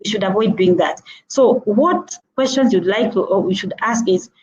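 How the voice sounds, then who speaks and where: A middle-aged woman with a Kenyan accent gives earnest advice, circling back to her points between small "uh" pauses. Her voice is warm and mellow. She comes through a muffled, lo-fi video call. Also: medium pitch. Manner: earnest and advising, repeating points with frequent "uh" hesitations. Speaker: motivational speaker. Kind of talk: instructive talk on networking.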